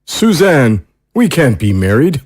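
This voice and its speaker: An actor playing an anguished, melodramatic soap opera lover in an audio drama, speaking with heavy theatrical feeling. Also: male voice, medium pitch, sing-song, slow pace, darkish tone.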